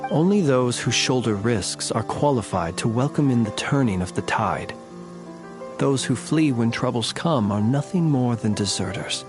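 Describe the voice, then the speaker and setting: A man's voice: medium pitch, animated, moderate pace, over music, natural voice. An actor playing a courtly, world-weary, proud and stoic male warrior in a video game, speaking in formal, archaic phrasing. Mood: solemn, resolute. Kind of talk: video game voice acting, scripted dialogue.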